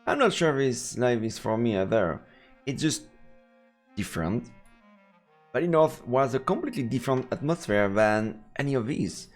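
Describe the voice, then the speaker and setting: A man narrates a visual novel as a streamer, his medium-pitched voice full of creak. He reads haltingly and drops in casual comments. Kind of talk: let's play narration with casual asides.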